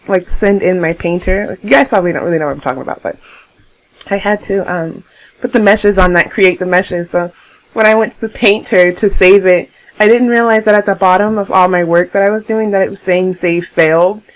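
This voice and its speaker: A young woman talking casually over her game build in a rambling, chatty way, laughing often, her voice hoarse. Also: mid-range voice, brisk, low-quality recording. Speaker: YouTuber narrating a game build.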